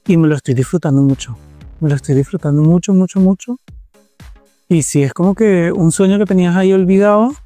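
A man from Colombia, a TV producer answering interview questions in a relaxed, thoughtful way and repeating words as he searches for them. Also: high voice, fairly quick, no echo, faint music behind.

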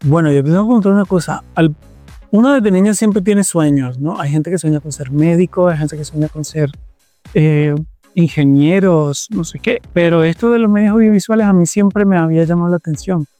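A man's voice: a little high, moderate pace, dark tone, no room sound, soft background music. A man with a Colombian accent talking conversationally in an interview, repeating phrases for emphasis. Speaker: interviewee, TV presenter and producer.

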